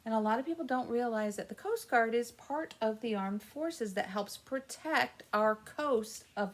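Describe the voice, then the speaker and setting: Slow-paced American woman hosting children's story time. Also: mid-range voice. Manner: simple, patient explaining with short phrases. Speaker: library story time host. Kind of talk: children's story time, reading and explaining simply.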